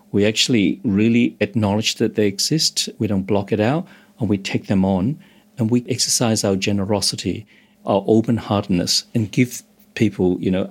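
Low-voiced meditation teacher from Hong Kong. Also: male voice, husky. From Hong Kong, moved to Australia as a teenager. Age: 50s or older. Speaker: meditation instructor, interview guest. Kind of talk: explanatory interview talk.

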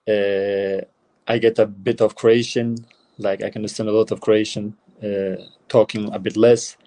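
A young man with an Albanian accent answering interview questions, halting often with fillers and restarts. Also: lowish voice, little pitch movement, mellow. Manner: hesitant, many fillers and restarts. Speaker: footballer guest.

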